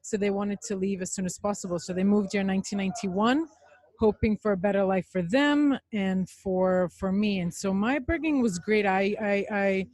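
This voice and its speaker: A woman's voice: mid-range voice, normal speed, a little mellow, no echo. Chatty young woman raised in Dubai. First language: Serbian. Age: young adult. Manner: open, chatty storytelling. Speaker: guest. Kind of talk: casual interview chat. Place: cafe.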